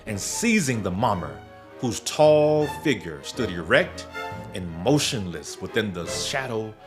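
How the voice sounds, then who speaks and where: A man narrating a gothic horror tale in a dramatic, deliberate, scripted style. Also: fairly low pitch, sing-song. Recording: prominent music bed under narration. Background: music.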